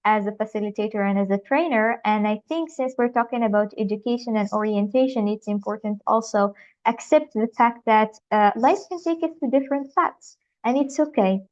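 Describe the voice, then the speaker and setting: A woman's voice: on the high side, darkish tone. Upbeat Moroccan woman on a video call. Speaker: youth leader and trainer giving a workshop. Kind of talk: online presentation. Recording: slightly dull, lo-fi video call audio.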